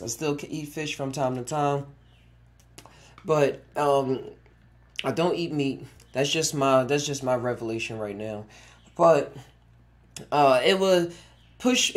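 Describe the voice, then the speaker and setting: A man in his twenties hosting a casual solo podcast, rambling with frequent chuckles and restarted sentences. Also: a little high. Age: 20s. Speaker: podcast host.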